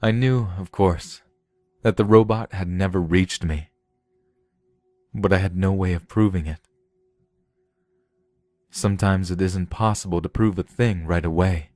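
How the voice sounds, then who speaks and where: A man's voice: deep voice, fairly even intonation, unhurried, pauses often, dark tone, no room sound. A man voicing a weary, accused man in a story, earnest and plain-spoken. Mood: weary, relieved. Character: weary accused man insisting on his own identity.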